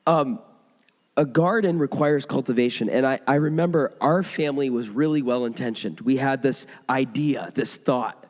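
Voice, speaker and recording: Hoarse man preaching in a reverberant hall. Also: medium pitch, a little mellow. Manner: conversational storytelling with frequent restarts. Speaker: pastor. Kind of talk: sermon. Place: church sanctuary.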